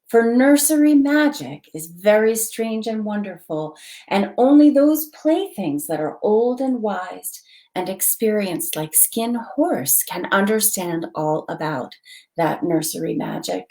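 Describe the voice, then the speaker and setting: A woman reads a children's story aloud in an expressive, dramatic storytelling manner. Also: medium pitch, takes it slowly. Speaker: volunteer storytime reader, library board member.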